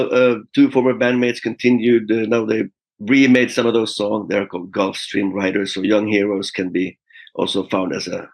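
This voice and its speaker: A man in his fifties, a guest musician, telling stories in a relaxed interview with frequent fillers and small laughs. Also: fairly low pitch, vocal fry, poor audio. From Finland. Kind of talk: casual interview talk.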